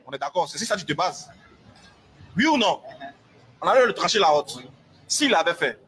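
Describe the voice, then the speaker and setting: A man with a fairly high voice pressing his case in a heated debate. He repeats his points insistently and keeps asking to be heard. His argument comes through as a replayed clip. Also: very animated, moderate pace, fairly bright tone. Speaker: debater defending Islam.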